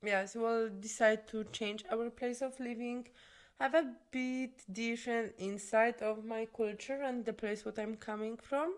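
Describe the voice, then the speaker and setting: A woman's voice: fairly high pitch, steady tone, measured pace. A young woman from Poland, co-hosting a podcast in earnest English and talking straight to viewers. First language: Polish. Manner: earnest, non-native English, addresses viewers directly. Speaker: podcast co-host. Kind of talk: casual chat.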